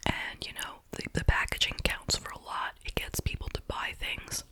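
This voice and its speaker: A woman speaking in a breathy, unvoiced whisper, chatting and musing through an ASMR food review. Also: normal speed. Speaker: host reviewing snacks.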